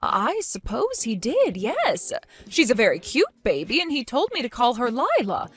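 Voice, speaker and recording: A woman voicing an indignant older woman who scolds and accuses in a lively, high voice. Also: normal speed, breathy edge, faint music behind, full character voice. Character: furious indignant older woman caring for a baby.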